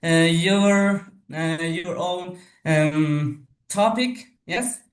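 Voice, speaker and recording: A man from Afghanistan, speaking slowly and haltingly with many fillers as a guest speaker on a video call. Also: fairly high pitch. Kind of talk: unscripted online talk. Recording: echoey, distant video call audio.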